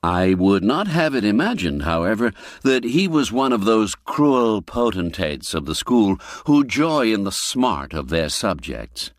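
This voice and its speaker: A man narrating a classic literary story for radio, reading expressively and deliberately, drawing out key words for emphasis. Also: on the low side, sing-song, dry recording, studio quality.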